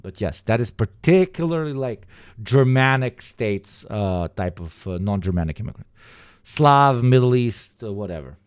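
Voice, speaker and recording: A man with an Eastern European accent, reacting to a video in casual, profane, rambling commentary with sudden animated bursts. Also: medium pitch, normal speed, smooth, no echo, studio quality.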